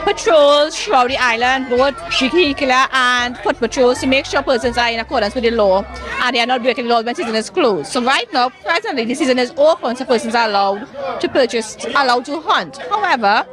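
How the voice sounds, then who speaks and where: A woman's voice: high-pitched, brisk. A woman game warden explaining her patrol duties in a matter-of-fact way at an outdoor festival booth. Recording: noisy outdoor crowd.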